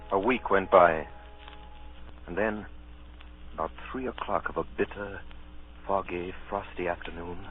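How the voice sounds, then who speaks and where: Deep-voiced, grave storyteller. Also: male voice, narrow, tinny audio. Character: grave storyteller recalling his boyhood adventure. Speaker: narrator. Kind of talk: 1930s radio drama narration. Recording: thin, noisy old radio recording.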